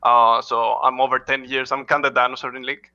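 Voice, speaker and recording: Gravelly, bright-voiced man, halting speech. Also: mid-range voice, flat delivery, lo-fi sound. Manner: halting, frequent "uh" fillers and restarts, non-native phrasing. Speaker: head coach, interview guest. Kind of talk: casual interview answers.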